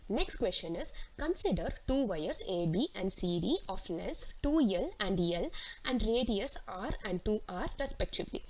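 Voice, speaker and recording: A woman teacher explaining worked exam solutions step by step, reading out questions and then walking through them. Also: medium pitch. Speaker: physics teacher. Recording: clearly noisy recording with clicks.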